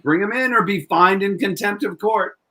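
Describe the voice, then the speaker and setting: A man plays a persistent young-sounding defense attorney in an old-time radio drama. His voice sits fairly high, and he argues with earnest courtroom formality. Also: normal speed, bright, forceful tone. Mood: commanding. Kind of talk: 1940s-style live radio play acting.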